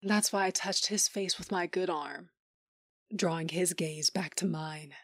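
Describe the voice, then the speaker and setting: A woman's voice: on the low side, unhurried, dry recording, clean studio sound. A woman playing a tough, sardonic young fighter who tells her own story intimately in an audio drama, sometimes dropping to a whisper. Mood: intimate, wry. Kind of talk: first-person audio drama narration.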